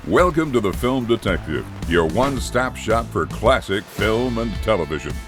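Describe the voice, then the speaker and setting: A man's voice: lowish voice, sing-song, normal speed. A man reading an advertising voice-over for a classic film service. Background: music.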